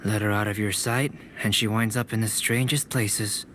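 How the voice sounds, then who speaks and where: An actor playing a composed young male alchemist mentor who speaks in a calm, measured way. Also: fairly low pitch, a little hiss or hum, close to an everyday voice. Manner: calm, measured, quietly reproachful. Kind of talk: video game voice acting.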